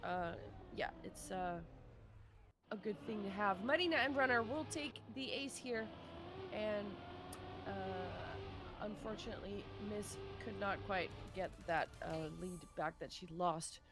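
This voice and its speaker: A woman giving live, conversational esports match commentary, often pausing mid-sentence as she follows the play. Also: medium pitch, music in the background. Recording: clear background noise.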